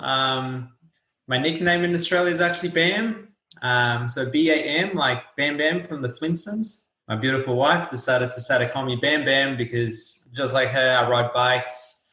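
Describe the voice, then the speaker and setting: Relaxed guy in his 30s from portugal, portuguese first language, chatting in a roomy echo. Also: mid-range voice. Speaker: guest. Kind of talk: casual interview chat.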